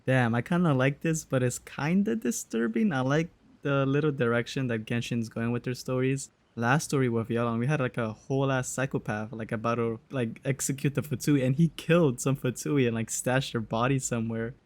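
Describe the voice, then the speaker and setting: A man's voice: medium pitch, brisk, hardly any pauses. Casual, slangy young man reacting. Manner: slangy, sweary, musing. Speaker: gaming streamer. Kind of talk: casual reaction commentary over a game.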